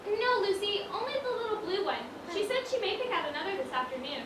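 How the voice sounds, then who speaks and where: Girl acting a very high-voiced fussy widow shopkeeper. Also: expressive, fast talker. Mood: busy, fretful. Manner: prim, exclaiming, old-fashioned turns of phrase. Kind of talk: amateur stage acting, one-act school play. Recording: roomy stage, distant mic, clear noise.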